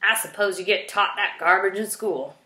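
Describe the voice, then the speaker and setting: Lady doing a grumpy old man porcupine from a kids book, blunt n exasperated, bright forceful voice, bit roomy. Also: mid-range voice, moderate pace, close to an everyday voice. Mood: grumbling. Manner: bellowing, grumbling, indignant. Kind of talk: children's storybook character voice.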